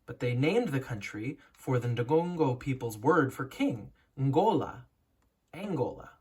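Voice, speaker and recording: Children's story reader, husky edge, animated intonation, instructive, deliberate emphasis, educator's read-aloud style. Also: medium pitch. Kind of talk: expressive picture book read-aloud.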